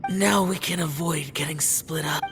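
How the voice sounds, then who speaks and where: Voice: mid-range voice, normal speed, over music, close to an everyday voice. An actor playing a stoic, brooding male immortal warrior in an anime-style video game, terse, grave and formal. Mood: stoic, resigned.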